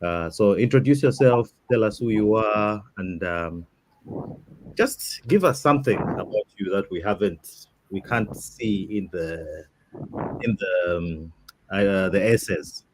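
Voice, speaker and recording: A man from Zambia leading a mock interview panel, talking in a relaxed, hesitant way with many fillers. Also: fairly low pitch, takes it slowly, background noise.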